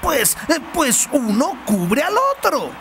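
An actor voicing a cocky, animated young male football player in a cartoon. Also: very high-pitched, takes it slowly, slight background noise, exaggerated voice. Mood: indignant. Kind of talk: cartoon dubbing.

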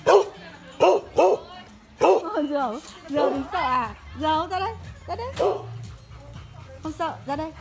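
A very high-voiced woman talks casually to her dog, coaxing it as if it were a child. She is recorded outdoors on a phone, with music clearly behind her. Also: animated, normal speed, pauses often. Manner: doting, calls the dog like a child. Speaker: dog owner filming a vlog. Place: outdoors at a campsite.

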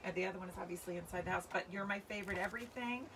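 A woman's voice: fairly low pitch, very fast, fairly bright tone. A woman in her forties, a children's author reading a storybook and chatting casually on a livestream. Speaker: children's book author and reader. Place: covered outdoor patio by trees. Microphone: phone or camera a few feet away. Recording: distant mic, clear outdoor background noise.

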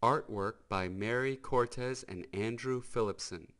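A man narrating a children's storybook in an expressive, emphatic manner. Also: medium pitch, a little slow. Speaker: storybook reader.

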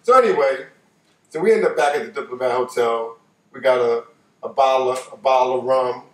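Casual male storyteller, late fifties, New York accent, animated and repetitive for emphasis, recorded in a roomy living room at some distance. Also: mid-range voice, a little fast. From New York, United States. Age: late 50s. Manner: animated, repeats phrases for emphasis. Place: living room, seated on a couch.

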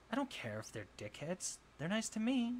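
A man's voice: high-pitched, wildly swooping pitch, slight background noise, heavily acted. A young man playing a jealous, insecure college bully in a roleplay audio drama, scoffing, sighing and defensive. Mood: angry, defensive. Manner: scoffing, sighing, swearing, halting when flustered.

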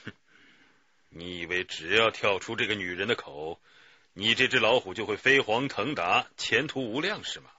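An actor playing a stern, sarcastic police interrogator in a radio drama, mocking and pressing. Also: male voice, lowish voice, moderate pace, sharp tone, slightly rough, no room sound, exaggerated voice. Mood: sardonic. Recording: dry, muffled broadcast.

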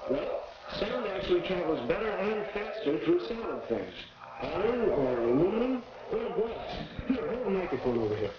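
A man's voice: high-pitched, big pitch swings, normal speed, muffled recording. An actor playing a patient young American man who explains science didactically in a 1940s instructional film. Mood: patient, instructive. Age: young adult. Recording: noisy 1940s film soundtrack.

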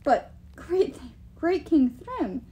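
A woman voicing an anxious, quick-witted young woman in an audiobook, speaking in an urgent, placating way. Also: sits high, big pitch swings, mellow, pitched above the performer's own voice, exaggerated voice. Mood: wary, flattering. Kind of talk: audiobook character voice.

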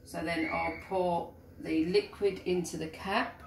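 Middle-aged English teacher, low-ish voice, patient how-to demo, roomy phone recording. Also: female voice, fairly even intonation, measured pace, gravelly. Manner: patient step-by-step explaining. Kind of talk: instructional home demonstration. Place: home kitchen and hallway, indoors. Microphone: handheld phone filming. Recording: echoey room, noisy phone audio.

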